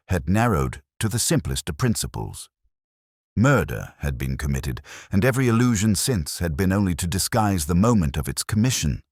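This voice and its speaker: A man with an extremely low voice narrates a mystery story. His delivery is measured and theatrical, with lively movement in pitch. The sound is dry and close, like a studio audiobook. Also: no room sound. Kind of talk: scripted narration of a detective story.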